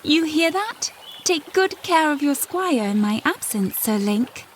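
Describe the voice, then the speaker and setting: A woman playing a gentle, polite young princess in a fantasy audio drama. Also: sits high, animated, measured pace, slight background noise. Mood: teasing. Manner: polite, earnest.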